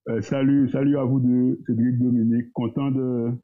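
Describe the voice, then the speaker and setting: A man, talking as a guest in a casual discussion, thoughtful and hesitant, pausing often. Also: mid-range voice, little pitch movement. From Guadeloupe. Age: 30s. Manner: thoughtful, hesitant, with many pauses and fillers. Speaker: guest, entrepreneur. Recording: tinny phone-like line.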